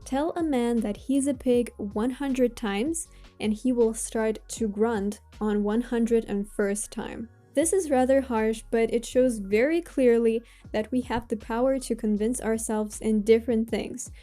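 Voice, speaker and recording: Higher-voiced woman with a Russian accent. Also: steady tone, a little slow, mellow, faint music behind. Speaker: host of a self-improvement channel. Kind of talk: motivational advice talk to viewers.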